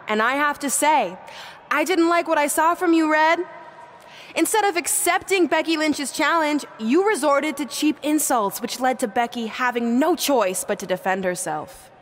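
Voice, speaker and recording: Game cutscene general manager woman acting: imperious, emphatic, declarative, slightly high, light crowd ambience behind. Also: normal speed, a little hiss or hum, close to an everyday voice. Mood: commanding. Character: self-assured, imperious female wrestling show boss. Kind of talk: video game acting, arena announcement on microphone.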